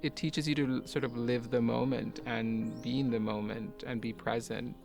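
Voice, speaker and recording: A man who grew up in Kuwait, a garden owner and artist, talking in a soft-spoken, musing way with light laughs. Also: medium pitch, little pitch movement, normal speed, creaky, over music. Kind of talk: reflective personal talk for a garden video.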